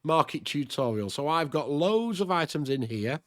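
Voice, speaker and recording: A man's voice: on the high side, lively intonation, normal speed, no echo, studio quality. A man with a stuffed-up, nasal voice from a cold, hosting a chatty game tutorial and thinking aloud. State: congested with a cold. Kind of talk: casual screen-recorded game tutorial.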